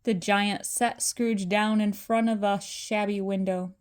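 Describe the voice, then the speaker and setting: An older woman, a grandmother, reading a children's story aloud in a deliberate narrating style. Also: mid-range voice, flat delivery, clear, resonant tone.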